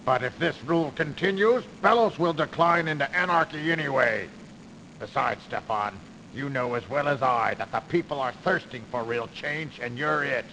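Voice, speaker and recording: A calculating industrialist schemer for an old video game. His creaky, gravelly voice is insinuating and persuasive, with theatrical grandeur. There is obvious noise behind it. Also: medium pitch, moderate pace, pushed and bright. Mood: businesslike, confident. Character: crooked, smooth-talking industrialist man, calculating, persuasive schemer. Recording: noisy early CD game audio.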